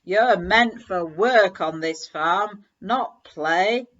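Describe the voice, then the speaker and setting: A woman voicing a stern male farmer in a storybook, giving loud, commanding orders to his sheepdog. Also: medium pitch, drawn-out words. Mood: angry. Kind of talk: children's storybook read-aloud. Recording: slightly dull, lo-fi recording.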